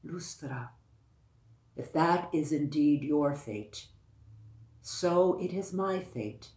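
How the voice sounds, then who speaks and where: A woman's voice: low-pitched, animated, mellow. A woman storyteller voicing a devoted young prince in a folktale, earnest and yearning, repeating his words. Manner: earnest, slow, repetitive. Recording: echoey, roomy sound.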